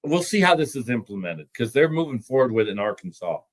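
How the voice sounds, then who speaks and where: Guy guest explaining stuff plainly, mid-pitch, restarts words now and then. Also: very animated, quick pace. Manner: matter-of-fact, explanatory, some stammered restarts. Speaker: trucking recruiting executive, guest. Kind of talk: podcast discussion.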